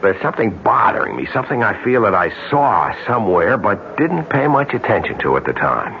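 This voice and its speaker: An actor with an American accent playing an earnest, determined innkeeper husband in an old-time radio drama. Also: male voice, lowish voice, very animated, a little fast, vocal fry. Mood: resolute. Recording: muffled radio recording, faint noise.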